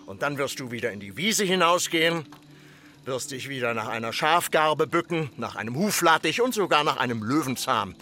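A stern, forceful village moraliser. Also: male voice, mid-range voice, lively intonation, moderate pace. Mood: threatening. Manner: admonishing, rhetorical, insistent. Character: stern, threatening male villager demanding order and conformity. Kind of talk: literary radio drama dialogue.

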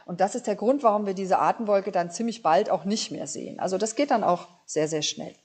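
A woman's voice: medium pitch, a little fast, a little mellow, slightly rough. A woman with a mid-range voice speaks as an expert guest. She explains science for children in everyday language, taking it one step at a time and making each point plain. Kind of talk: explanatory interview answers for children.

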